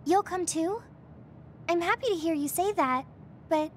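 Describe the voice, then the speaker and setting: Girlish anime game heroine soldier, very high voice, sincere, resolute yet self-doubting. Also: unhurried, a little hiss or hum. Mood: earnest. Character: earnest young woman soldier, determined but self-doubting.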